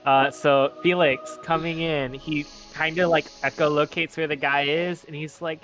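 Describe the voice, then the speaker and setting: A man with a fairly high, very bright voice plays a tabletop roleplaying game. He describes his moves casually and with animation, over faint music. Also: flat delivery, normal speed, rough recording. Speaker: player.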